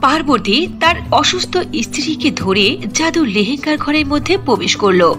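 A woman's voice: high-pitched, animated, moderate pace. A woman narrating a scripted fairy-tale cartoon as its storyteller. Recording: music behind the voice.

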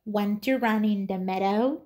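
A young woman voicing friendly storybook farm animals, with drawn-out animal calls and playful questions. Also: medium pitch, mellow, natural voice. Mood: playful, inviting. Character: friendly farmyard animals calling out and inviting play.

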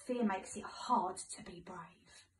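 A woman's voice: mid-range voice, slow pace, slight background noise. British woman storytelling, echoey room. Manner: expressive, gentle, simple phrasing for children. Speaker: primary school teacher. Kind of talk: storybook read-aloud for young children. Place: living room, indoors. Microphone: phone camera a few metres away. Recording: echoey room, far from mic.

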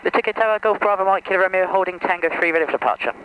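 A man's voice: high-pitched, very fast, bright, forceful tone. A man making clipped pilot readbacks to air traffic control through a headset in a light aircraft. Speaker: pilot making radio calls. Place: small light aircraft cockpit. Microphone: aviation headset boom mic. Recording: noisy aviation headset radio.

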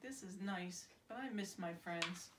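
A woman's voice: fairly low pitch, measured pace, lightly acted. A woman voicing an anxious toy duck. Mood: wistful. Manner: anxious, plaintive. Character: small worried male stuffed toy duck. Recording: roomy, distant mic, faint hiss.

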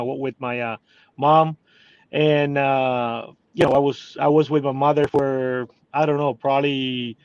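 A man's voice: fairly high pitch, no room sound. A man in his forties with a Cuban Spanish accent, speaking English as a second language, telling his story hesitantly with many fillers from inside a parked car. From Cuba. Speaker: guest. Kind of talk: casual interview. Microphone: phone video call. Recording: dry, slightly dull phone audio.

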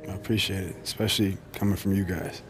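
An actor playing a reflective, easygoing American basketball player in a naturalistic, low-key video game performance. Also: male voice, steady tone, fast, music in the background, lightly acted. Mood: reflective, subdued. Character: professional basketball star, reflective, easygoing, close to his family.

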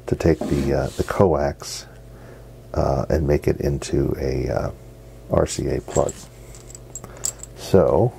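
A middle-aged man, giving a casual hands-on demonstration in a halting, unscripted way. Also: a bass voice, gravelly, dark tone. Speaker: radio hobbyist presenter. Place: basement radio workshop, indoors. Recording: noisy home basement recording.

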